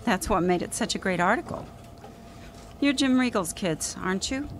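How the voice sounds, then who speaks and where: A woman's voice: medium pitch, expressive, moderate pace, a little hiss or hum, natural voice. A woman playing a wry, pushy small-town newspaper reporter in a radio drama, sardonic and nonchalant.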